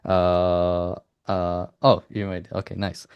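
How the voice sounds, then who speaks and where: A man interviewing a developer in a conversational style, with halting, hesitant phrasing. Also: deep voice, monotone, smooth, muffled recording.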